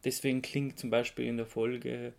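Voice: medium pitch, little pitch movement, moderate pace, creaky, darkish tone. A podcast co-host with an Austrian accent, chatting casually and explaining things in a conversational way. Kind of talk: casual chat about radio plays.

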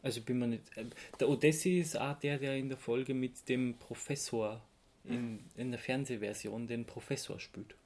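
A man co-hosting a casual podcast, halting and correcting himself as he recounts things and gives firm opinions. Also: mid-range voice, fairly even intonation, a little mellow. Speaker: podcast co-host.

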